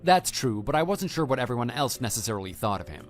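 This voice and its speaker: A man playing a calm, matter-of-fact husband and former teacher in a scripted online radio drama. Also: mid-range voice, close to an everyday voice. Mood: calm, reassuring. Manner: measured, matter-of-fact, quietly dry. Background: background music.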